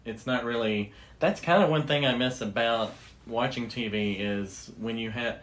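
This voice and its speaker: A man's voice: mid-range voice, normal speed, fairly bright tone. A middle-aged American man chatting casually as a podcast co-host. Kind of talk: casual chat.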